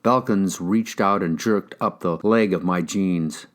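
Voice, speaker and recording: A man's voice: deep voice, a little slow. A man reading scripted first-person crime fiction as an audiobook narrator.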